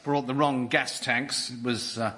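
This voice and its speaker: A man's voice: mid-range voice, steady tone, unhurried, pushed and bright. An actor playing a timid, genteel, long-suffering man in a radio sitcom, well-spoken, hesitant and fussy. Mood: aggrieved. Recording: very noisy studio comedy recording.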